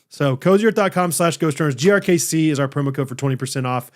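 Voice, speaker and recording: A man's voice: on the high side, quick pace. A man co-hosting a podcast, joking and laughing often as he reads an ad. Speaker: podcast co-host. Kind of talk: casual chat with ad reads.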